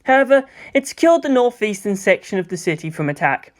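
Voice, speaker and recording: A narrator reading a scripted story in an expressive way, with dramatic emphasis. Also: medium pitch, brisk. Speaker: audiobook narrator. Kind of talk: scripted narration of fan fiction.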